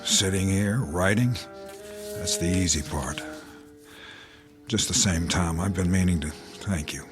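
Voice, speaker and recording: An actor playing a dutiful, weary army captain in an audio drama, speaking in a sombre, halting way. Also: male voice, low voice, music in the background.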